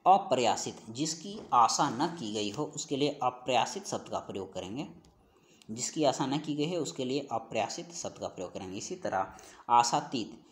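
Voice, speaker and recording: Man teaching, repeating key phrases slowly. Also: mid-range voice. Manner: didactic, repeats each question and answer, slowing down on key phrases. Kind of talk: Hindi grammar exam-prep lesson, reading out questions and answers.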